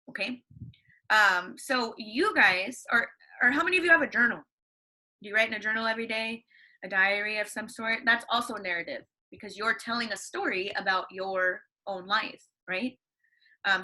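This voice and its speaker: A woman's voice: fairly high pitch, pushed and bright, rough recording. A young woman teaching young students from home over her computer, upbeat, asking questions and answering them herself. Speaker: elementary school teacher. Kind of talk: read-along lesson for young students at home. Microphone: computer microphone.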